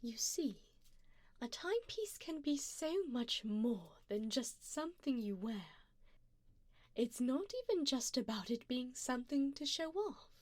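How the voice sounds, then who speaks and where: A woman plays an elegant, wealthy vampire lady who dotes on and quietly owns her listener. Her voice is fairly high, soft and breathy, often sinking toward a whisper. She takes her time over each formal, coaxing phrase, gently commanding in an intimate ASMR roleplay, very dry and close to the ear. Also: very animated, unhurried, dry recording, full character voice. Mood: refined, persuasive. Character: wealthy, doting, possessive female vampire sugar mommy. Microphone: binaural 3Dio microphone, close up.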